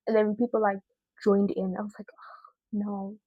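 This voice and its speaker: A woman giving a casual, chatty video review in an expressive, slangy way with emphatic repetition. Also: mid-range voice, normal speed, breathy edge, no echo, narrow, tinny audio.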